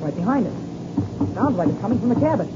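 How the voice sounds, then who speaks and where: An actor playing an earnest, anxious young co-pilot in a melodramatic 1930s radio serial. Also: male voice, high voice, sing-song, quick pace. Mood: alert. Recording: muffled, noisy old radio recording.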